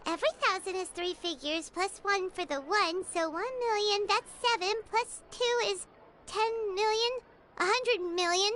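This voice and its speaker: A woman voicing a tiny, excitable and nosy female companion in a video game, chatty and quick to question things. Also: very high voice. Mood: puzzled. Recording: game audio with steady background noise.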